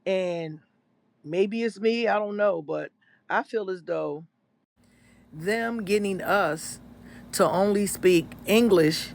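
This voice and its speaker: A man giving casual vlog commentary in a preachy way, quoting scripture with drawn-out asides. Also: sits high, vocal fry, dry recording. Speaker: vlogger.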